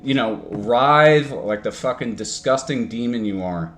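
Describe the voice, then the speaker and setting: A man's voice: mid-range voice, measured pace. A young man from the United States arguing heatedly in an online debate, swearing often and cutting in on the other speaker. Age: young adult. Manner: profane, combative, interrupting.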